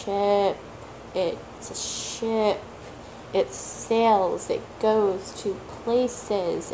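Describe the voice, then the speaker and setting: A woman for whom English is a third language, talking slowly and reflectively as a tarot reader, repeating words for emphasis and sometimes searching for them. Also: mid-range voice, background noise. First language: not English; English is her third language. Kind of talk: slow reflective monologue.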